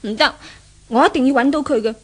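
A spoiled young daughter in a 1970s Cantonese radio drama. Her voice sits high, with a Hong Kong accent. She whines and pouts, impatient and lovesick. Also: fast talker. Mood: petulant, impatient. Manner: whining, pouting, coquettish.

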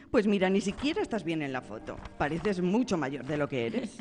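Radio drama role: playful mother, indulgent, swooping intonation, lowish pitch, music underneath. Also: big pitch swings, normal speed, darkish tone, big-room sound. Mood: teasing. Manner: teasing, indulgent. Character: playful, affectionate mother of a young girl.

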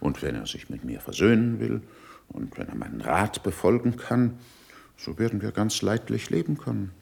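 A worldly older statesman of the court, very deep and creaky in voice. He speaks classical verse with measured rhetoric and a wide, lively melody, critical yet in control of himself. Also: exaggerated voice. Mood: composed, conciliatory. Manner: measured, rhetorical, emphatic declamation. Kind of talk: classical German verse radio drama acting.

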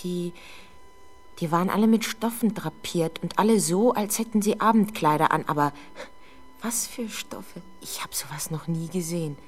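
A woman's voice: lowish voice, natural voice. Woman acting a frightened, defensive teenage girl. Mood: haunted, agitated. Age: young adult. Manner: hesitant, halting, exasperated when doubted. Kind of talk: 1970s German radio drama acting.

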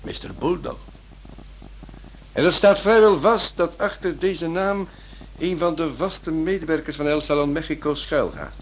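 An earnest police inspector and slightly exasperated husband. His voice sits a little high, and his phrasing is emphatic and formal. It sounds like an old radio drama with some background noise. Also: measured pace, poor audio. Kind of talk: Dutch-language radio drama acting.

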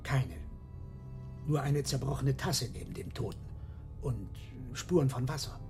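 An actor playing a nervous monk under questioning in a radio drama, answering in a halting, defensive way. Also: male voice, medium pitch, lively intonation, music in the background, natural voice. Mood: uneasy.

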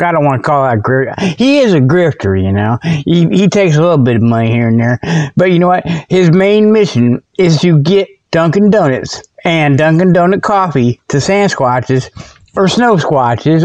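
A man with a fairly high voice hosts a comic mock report in a close, dry sound. He talks in a folksy, wandering way, circling back and repeating himself as he goes. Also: no room sound. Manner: folksy, digressive, repeats and backtracks. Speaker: host of a comedy report show. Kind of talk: rambling mock-report monologue.

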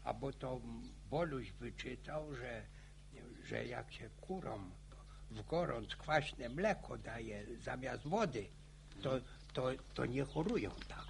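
An actor playing a talkative, boastful old grandfather, halting and repeating himself in a radio drama. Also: mid-range voice, expressive, slight background noise. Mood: rambling, musing. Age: older. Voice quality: creaky, gravelly. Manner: halting, stammering, repeats words.

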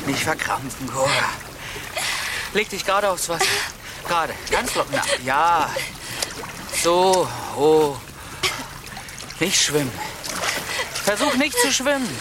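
Lively male swimmer amid loud splashing. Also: a little high, slow pace, long pauses. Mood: worried, reassuring. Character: playful, protective male lover swimming out at sea. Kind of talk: German radio drama acting. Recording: loud splashing sea water noise. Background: loud water splashing.

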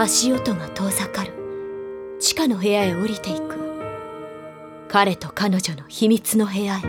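A woman's voice: medium pitch, close to an everyday voice. A woman playing the narrator of a haunting gothic tale, reading in an intense, literary, dramatic style. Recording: loud background music under voice.

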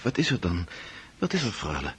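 An actor playing a thoughtful, kindly family friend in a radio play, speaking gently and probingly. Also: male voice, low-pitched, huge pitch swings, brisk, slightly rough, exaggerated voice.

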